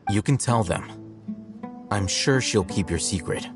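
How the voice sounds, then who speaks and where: A man voicing a composed male scholar ally in a video game, calm, measured and matter-of-fact. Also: lowish voice, gravelly. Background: game background music.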